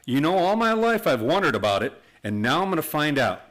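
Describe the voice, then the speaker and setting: Man playing an animated, wry young lawyer. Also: on the high side, fairly quick. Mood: amused. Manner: easygoing, wry. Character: amiable, wry young male city lawyer. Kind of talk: radio play acting.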